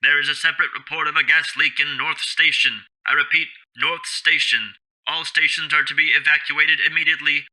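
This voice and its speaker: A man voicing a police dispatcher announcing over a radio in a calm but commanding tone. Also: on the high side, a little fast, pitched above the performer's own voice, poor audio.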